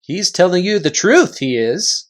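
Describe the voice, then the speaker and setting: High, excitable invisible followers from a storybook, put on by a single reader. They are fawning yes-men, repeating themselves emphatically with a swooping pitch. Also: measured pace. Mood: eager agreement. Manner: parroting, emphatic repetition.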